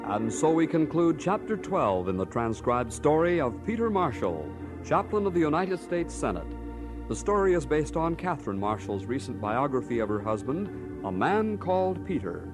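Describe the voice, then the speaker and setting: Male announcer, formal radio style, expressive intonation, faint music behind. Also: a little high, normal speed.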